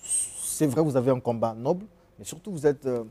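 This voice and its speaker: A man's voice: mid-range voice, normal speed, warm tone. A man from the Republic of the Congo hosting a radio interview, courteous and hesitant, with many fillers. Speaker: radio host.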